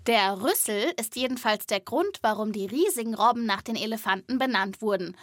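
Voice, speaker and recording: A woman's voice: on the high side, brisk, a bit bright, no echo, studio quality. A woman hosting a children's podcast, explaining things in playful, colloquial scripted narration.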